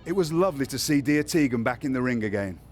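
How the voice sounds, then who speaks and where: A man playing a sly, genteel British general manager in a wrestling video game, formal and smoothly condescending. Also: medium pitch, expressive, fast talker, faint music behind, natural voice. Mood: genial, sly. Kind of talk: video game cutscene acting.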